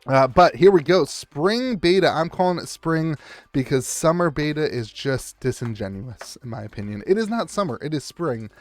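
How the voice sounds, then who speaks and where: Young guy with a worn-out hoarse voice, chatty pack-opening host, bit of music behind. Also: a little high, expressive, normal speed. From United States. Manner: chatty, calls out foils and talks to the viewer. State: losing his voice, hoarse. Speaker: channel host opening card packs. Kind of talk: casual pack-opening commentary.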